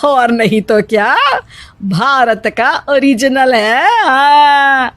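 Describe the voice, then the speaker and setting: A woman's voice: high voice, extremely slow, fairly bright tone, no room sound, heavily acted. A woman voicing an affectionate, proud and opinionated Indian mother-in-law in a cartoon story, exclamatory and instructive. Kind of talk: Hindi animated story acting.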